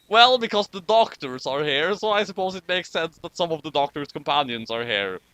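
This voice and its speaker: A man reading comic fan fiction aloud with exclamatory, animated delivery and amused asides. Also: a little high, moderate pace, dry recording.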